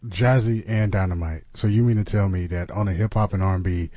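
A man's voice: deep voice, steady tone, fairly quick, warm tone. A man in a comedy sketch, rambling in a laid-back, braggy way as a rapper. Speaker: comedian playing a boastful rapper.

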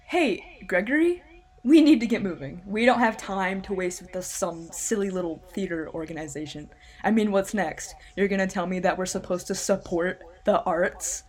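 A woman's voice: medium pitch, moderate pace, close to an everyday voice. A woman playing a manic, dismissive, gleefully unhinged tour guide in a comedic audio drama, theatrical and mock-condescending. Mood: bossy, dismissive.

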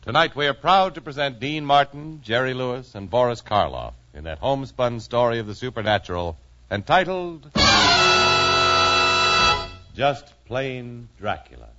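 A man's voice: mid-range voice, animated, normal speed. A man announcing and narrating a scripted 1950s radio sketch on a muffled old recording. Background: loud music.

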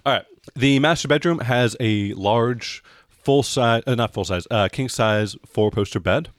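A man's voice: mid-range voice, measured pace, no room sound, clean studio sound. A man narrating a tabletop role-playing game as game master, casually describing scenes and searching for words as he goes.

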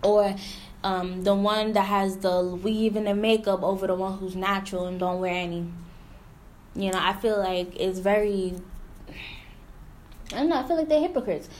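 A woman's voice: mid-range voice, steady tone, moderate pace, pauses often, a little mellow, slight background noise. A woman talking bluntly and candidly in a casual chat, with slang and frequent murmured agreement.

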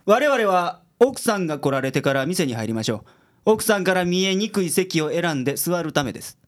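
A gruff detective boss acted by a man with a Kansai accent and a notably high voice for a male. He gives blunt orders in radio drama style. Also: very animated, fairly quick. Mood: brisk, commanding. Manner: blunt, commanding.

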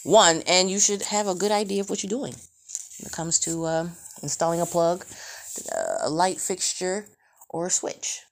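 Woman, late twenties, casual rant, profane, lots of fillers, lively pitch. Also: moderate pace. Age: 20s. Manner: profane, animated storytelling with frequent fillers. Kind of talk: casual rambling monologue.

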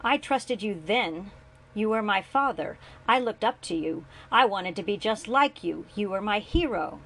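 A bitter, worn-out young man, as a woman reading the story puts him on, sardonic and quick to flare into anger. His voice rises in pitch and volume when he is hurt, and every line is sharp and accusing. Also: lively intonation.

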